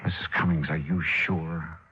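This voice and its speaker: An actor playing a wry, self-assured insurance investigator in a crisp 1950s radio detective style. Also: male voice, a bass voice, level tone, unhurried, pushed and bright, slight background noise, heavily acted. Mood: grave, gentle. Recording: dull old radio recording with music.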